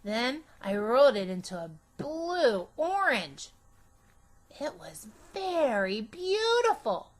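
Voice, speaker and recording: An imaginative, chatty little girl tells her own storybook tale, acted out by a woman. Her voice is high and very animated, with slow, emphatic, childish phrasing and a bossy streak. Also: heavily acted.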